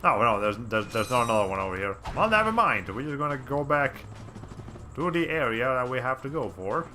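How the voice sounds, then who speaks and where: A male game streamer talks casually and at length while he plays, often restarting his sentences. His voice is raspy, bright and tense. Soft game music sits quietly underneath. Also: medium pitch, animated, fairly quick. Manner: rambling, chatty, frequent restarts. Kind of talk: casual gameplay commentary.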